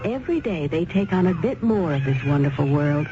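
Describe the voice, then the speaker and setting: A woman reading a scripted radio charity appeal in an earnest, measured way. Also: low voice, animated. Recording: dull, clearly noisy old radio recording.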